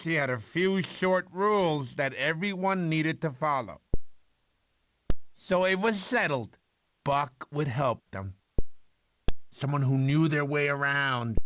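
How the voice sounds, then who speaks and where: Goofy, doting sloth with swooping pitch. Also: male voice, fairly bright tone, a little hiss or hum, poor audio. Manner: rambling, fussy, doting storyteller. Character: goofy, sentimental male sloth uncle telling baby a story. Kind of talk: animated film character narration.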